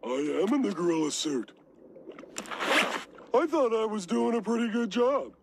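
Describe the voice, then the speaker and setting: Brief: dim, cheerful cartoon starfish, gravelly and slow-witted. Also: male voice, high voice, lively intonation, very noisy, heavily acted. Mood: proud. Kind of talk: cartoon voice acting.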